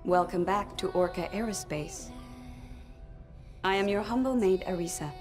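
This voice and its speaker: A woman voicing a polite, servile robot maid guide in a video game, speaking in a measured, formal announcement style. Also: medium pitch, loud music behind the voice, close to an everyday voice. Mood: polite welcome.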